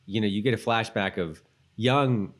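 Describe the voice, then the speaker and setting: Low-voiced man in casual podcast chat. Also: moderate pace. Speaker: podcast co-host.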